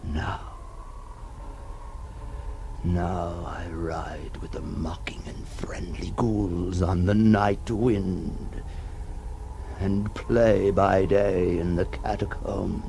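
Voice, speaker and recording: A man playing an anguished ghoul in a theatrical 1960s radio horror monologue, halting between whispers and cries. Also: deep voice, vocal fry, dark tone, full character voice. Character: anguished male ghoul recoiling from his own monstrous reflection. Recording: noisy old 1960s radio recording.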